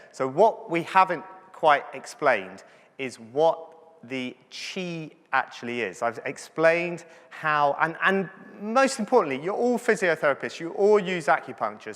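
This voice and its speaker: Doctor guy lecturing, kinda high voice, joking around and asking "yeah?", big echoey conference hall. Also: animated, unhurried, a bit bright. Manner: chatty, joking, checks in with "yeah?". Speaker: medical doctor lecturing to physiotherapists. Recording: hall reverb from the venue.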